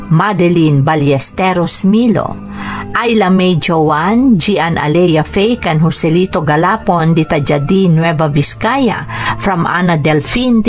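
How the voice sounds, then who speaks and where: Lady host reading out intros and shout-outs, slightly low voice, music under it. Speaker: radio drama host. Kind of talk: scripted introduction and listener greetings.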